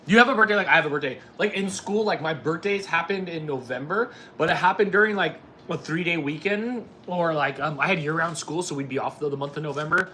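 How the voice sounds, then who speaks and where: Male streamer, 40s, bright edgy tone, casual off-the-cuff chat, noticeable background noise. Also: fairly high pitch, brisk, few pauses. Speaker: livestream host. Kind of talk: casual chat with live viewers.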